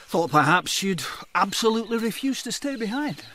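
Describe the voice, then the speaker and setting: An actor playing a wry, hard-drinking colonial gentleman in a radio drama, teasing and cynical, with a sardonic, probing way of talking. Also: high-pitched, animated, moderate pace, slight background noise. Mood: amused, probing.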